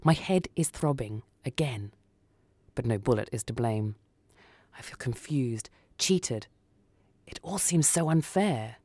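A woman reading a short story for radio in a theatrical, deliberate, dramatic narrating style. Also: a bass voice, expressive, warm tone, no room sound.

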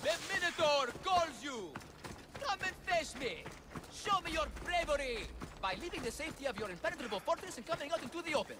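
Casting: bombastic masked game hero, very high-pitched, swooping wildly, grandiose and earnest. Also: male voice, big pitch swings, a little fast, very noisy. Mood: taunting bellows. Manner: theatrical, bombastic, grandiose declarations. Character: blustering man in Minotaur mask, mock-heroic, devoted father. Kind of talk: dramatic video game voice acting.

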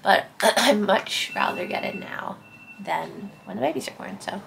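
Hoarse, gravelly sick young woman. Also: medium pitch, expressive, a little slow, a bit bright, a little hiss or hum, low-quality recording. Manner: tired, plain-spoken. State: hoarse and congested with illness. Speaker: vlogger. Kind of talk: casual chat. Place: living room at home.

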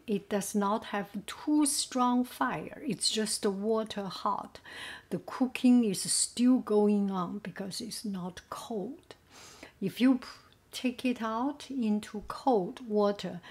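Slow-talking woman cooking at home. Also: mid-range voice, a little mellow, breathy edge. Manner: thinking aloud, plain simple phrasing with many fillers. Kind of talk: casual cooking demo. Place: home kitchen at a gas stove.

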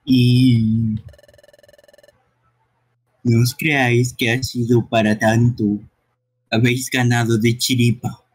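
A man's voice: medium pitch, level tone, slow, pauses often, slightly rough, low-quality recording. A man voicing a sore-loser gas monster bully in an amateur game dub. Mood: scornful.

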